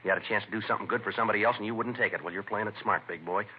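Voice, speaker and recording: An actor playing a stern, matter-of-fact police detective in a 1950s radio drama. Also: male voice, on the low side, racing, natural voice. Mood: stern lecturing. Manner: terse, matter-of-fact, authoritative. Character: stern, clipped, no-nonsense male police detective. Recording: muffled, noisy vintage radio recording.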